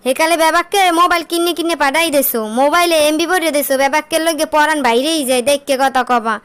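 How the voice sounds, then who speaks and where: Nagging cartoon wife played by a woman, high-voiced, Barisal-region Bangladeshi accent, weary and scolding. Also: fast, fairly bright tone. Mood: scolding, lamenting. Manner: scolding, lamenting. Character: nagging, weary working wife of a jobless man. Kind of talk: comic cartoon dialogue in rural dialect.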